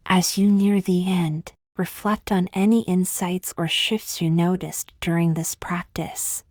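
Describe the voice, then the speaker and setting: A woman reading a scripted guided meditation in slow, gentle, measured phrases with long pauses. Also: fairly low pitch, fairly even intonation, no room sound, clean studio sound.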